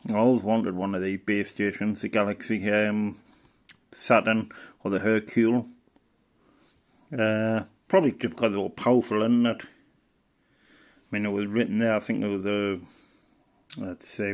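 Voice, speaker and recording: A man's voice: on the low side, steady tone, a little slow, long pauses. A middle-aged man giving a casual, rambling show-and-tell as a hobbyist, hesitant and thinking aloud. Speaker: radio hobbyist presenter.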